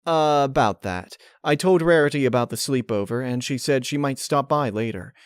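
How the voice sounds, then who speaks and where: A man's voice: medium pitch, normal speed, clear, resonant tone, dry recording, studio quality, voice pushed up, close to an everyday voice. A man voicing a nervous, evasive female farm pony in a story, with a folksy, stammering way of talking. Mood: nervous, forced cheer.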